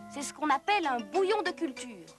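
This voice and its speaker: Female cartoon narrator, high voice, scripted educational storytelling, clear music bed. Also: very animated, brisk. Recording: music behind the voice.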